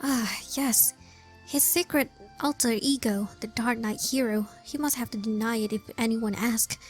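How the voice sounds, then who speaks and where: A woman's voice: a little high, steady tone, normal speed, soft background music. A woman giving casual gameplay commentary, hesitant and musing aloud as she goes. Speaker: game player and commentator.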